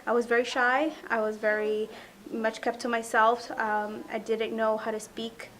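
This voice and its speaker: A young woman in an interview, reflecting calmly on how a youth jobs program changed her life. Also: a little high, measured pace.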